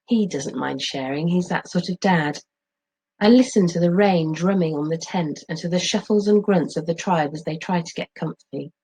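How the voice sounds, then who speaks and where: A middle-aged woman speaking as a teacher, explaining and reading aloud in a clear, instructive way with expressive phrasing. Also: fairly low pitch, moderate pace, rough recording.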